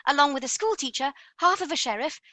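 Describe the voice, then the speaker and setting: Plucky British lady scientist played by a woman for B-movie radio spoof: high, very animated, proper and self-assured. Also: fast, no echo. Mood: brisk, confident. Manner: jolly, proper, theatrically deadpan. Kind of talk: B-movie parody radio comedy.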